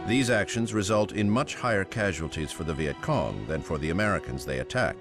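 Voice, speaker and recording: A man playing a grave, formal war documentary narrator in a game, speaking in measured scripted lines. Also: low voice, animated. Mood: solemn, measured. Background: music.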